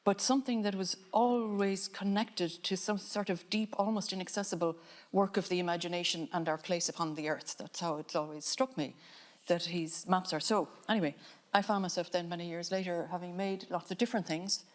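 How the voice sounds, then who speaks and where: An Irish woman, a filmmaker on a panel, giving a reflective talk in a musing, halting way with frequent restarts. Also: on the low side, fairly even intonation. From Ireland.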